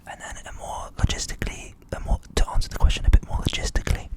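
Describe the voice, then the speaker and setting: A young man who grew up in England with Ukrainian as his first language, whispering softly as an ASMR host answering viewer questions and thinking aloud. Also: fast talker. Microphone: studio USB microphone.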